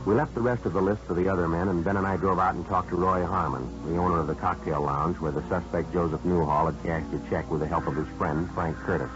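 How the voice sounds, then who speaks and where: An actor playing a businesslike American detective sergeant in a radio drama, clipped, deadpan and matter-of-fact as he narrates his cases. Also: deep voice, fast talker, hardly any pauses, close to an everyday voice. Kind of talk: 1950s radio drama acting, scripted narration. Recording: dull vintage radio recording, music behind.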